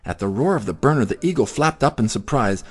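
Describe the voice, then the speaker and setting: A man narrating a children's story in an expressive, theatrical way. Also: mid-range voice, fast talker, faint music behind. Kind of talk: dramatic children's story reading.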